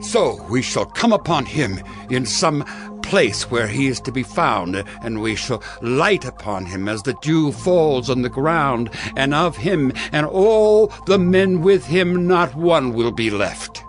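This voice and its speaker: Persuasive old-testament royal advisor, slow grand speechy delivery, pitch swings around, music behind. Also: male voice, sing-song. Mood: grave, persuasive. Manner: slow, emphatic, oratorical. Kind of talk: dramatized audio Bible acting.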